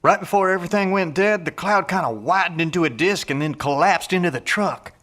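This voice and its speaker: A down-to-earth survivor in an audio drama. The voice is high for a man and bright, the delivery casual and blunt. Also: fairly quick. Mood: puzzled. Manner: casual, plain-spoken. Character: laid-back, down-to-earth male truck-owning survivor, blunt.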